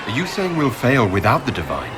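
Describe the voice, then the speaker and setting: Blunt game hero asking questions, quick talker, low voice, noisy background. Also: male voice, huge pitch swings. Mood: inquisitive. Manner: brisk, direct. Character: direct, questioning male hero of a fantasy game. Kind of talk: fantasy video game dialogue. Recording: crowd and outdoor ambience behind.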